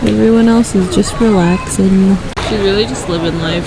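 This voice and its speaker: A young woman vlogging casually to a handheld camera at a humid beach resort, chatty and giggly with quick asides. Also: medium pitch, vocal fry, poor audio. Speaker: travel vlogger. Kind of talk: casual vlog narration to camera. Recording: loud outdoor noise around the camera mic.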